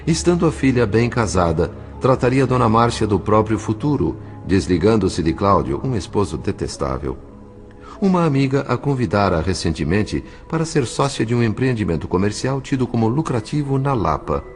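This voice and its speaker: A man narrating an audio novel from a script, with a measured, literary, dramatic delivery. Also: lowish voice, sing-song, soft background music.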